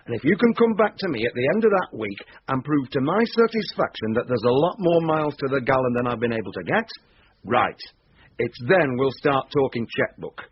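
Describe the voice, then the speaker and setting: An actor playing a shady, blunt boss who likes running things, brusque and plain-spoken, in a radio drama. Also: male voice, mid-range voice, very animated, brisk, breathy edge, muffled recording. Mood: businesslike, commanding.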